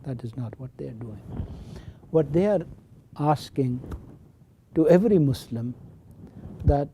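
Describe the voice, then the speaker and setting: An older man with a Pakistani accent, a professor explaining his views in an interview in an emphatic, deliberate manner. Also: medium pitch, animated, warm tone, a little hiss or hum. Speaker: professor of Islamic studies.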